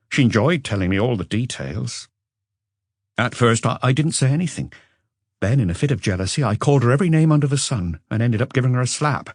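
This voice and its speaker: A man voicing an educated Frenchman who looks back on a passionate marriage with regret, in a measured, confessional audiobook reading. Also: medium pitch, slightly rough, no echo, studio quality, pitched above the performer's own voice. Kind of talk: audiobook character dialogue.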